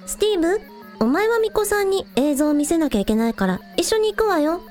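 ラジオドラマで、率直で面倒見のよい元気な若いアイドルの女の子を演じる女性。. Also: female voice, very high voice, very animated, fairly quick, darkish tone, soft background music. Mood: brisk, decisive. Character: energetic young village idol girl, frank and caring. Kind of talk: amateur anime-like radio drama acting.

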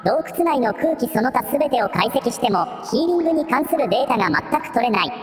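A woman's voice: fairly high pitch, big pitch swings, brisk. A woman voicing a dutiful, analytical robot assistant who speaks in a matter-of-fact way in a radio drama. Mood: clinical. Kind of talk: Japanese radio drama acting. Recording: echoey long reverb, music behind.

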